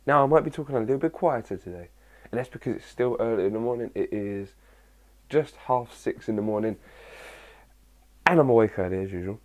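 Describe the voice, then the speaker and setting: A young man with a husky early-morning voice, chatting casually to his viewers, answering their questions and rambling with lots of fillers. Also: medium pitch, lively intonation, fairly quick, pauses often. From England. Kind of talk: casual chat to camera.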